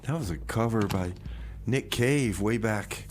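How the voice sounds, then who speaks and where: A man's voice: lowish voice, unhurried, slight background noise. A man hosting a music radio show with casual track announcements and comic banter.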